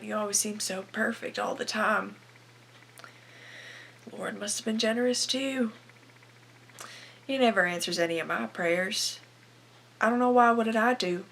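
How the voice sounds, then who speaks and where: A woman's voice: on the high side, creaky, full character voice. A teenage girl playing a desperate, bitter young farm wife in a confessional dramatic monologue. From Canada. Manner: confessional, anguished, swinging from hushed to bursting. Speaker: aspiring actress performing a film monologue.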